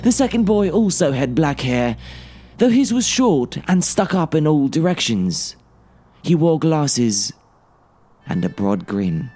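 A man narrating a story in a theatrical, expressive, dramatic audiobook style. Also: a little high, slow. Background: music.

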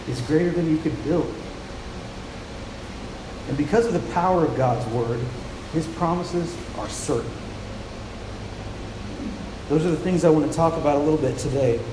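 A man preaching a sermon, soft-spoken and often repeating words as he restarts a thought, after reading scripture aloud. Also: fairly high pitch. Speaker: pastor. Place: church with a large echoing room. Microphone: handheld mic. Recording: echoey, reverberant hall with noise.

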